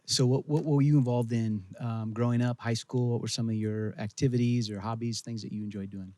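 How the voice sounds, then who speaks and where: A man's voice: medium pitch, fairly even intonation, brisk, mellow, dry recording. Upbeat middle-aged man hosting. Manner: upbeat, friendly questions. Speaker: pastor. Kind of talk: church video interview.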